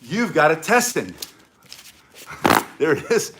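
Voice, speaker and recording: A middle-aged man running a self-defense lesson with a slightly high voice. He talks in quick, punchy bursts, counting out strikes and joking in between, chatty and full of energy. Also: wildly swooping pitch, noisy background. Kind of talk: casual instructional demonstration.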